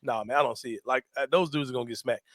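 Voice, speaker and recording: Bright-voiced sports host. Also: male voice, mid-range voice, rapid-fire, dry recording, studio quality. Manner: animated, slangy, laughs a lot. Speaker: sports talk show host. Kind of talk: casual sports chat.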